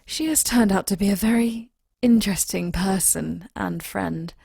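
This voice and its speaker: Audio drama starship lieutenant, played by a woman: husky, close and dry, reserved, thoughtful, anxious underneath. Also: medium pitch, normal speed, mellow, no echo. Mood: reflective. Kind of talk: audio drama acting, personal log and dialogue.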